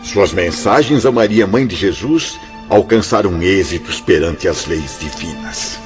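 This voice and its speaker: An actor playing a benevolent, devout spirit director in a radio drama, with formal, ceremonious delivery. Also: male voice, low voice, lively intonation, unhurried. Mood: solemn announcement. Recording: muffled lo-fi audio with music. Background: background music.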